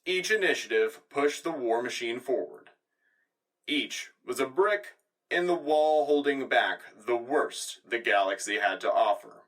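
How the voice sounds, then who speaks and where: A man's voice: medium pitch, expressive, unhurried, fairly bright tone, recorded from a distance. A man playing a polished, grandiose propaganda radio news anchor in a science fiction war drama, reading scripted broadcast narration. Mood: proud, rousing. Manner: polished, grandiose announcer delivery. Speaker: radio show host.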